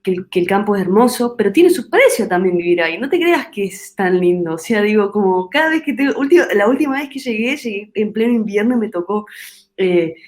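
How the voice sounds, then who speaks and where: A woman's voice: mid-range voice, animated, a little fast, darkish tone. Argentine woman musing thoughtfully. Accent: Argentine Spanish. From Argentina, Córdoba. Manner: thoughtful, searching for words, many restarts. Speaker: guest singer-songwriter. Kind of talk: reflective interview talk.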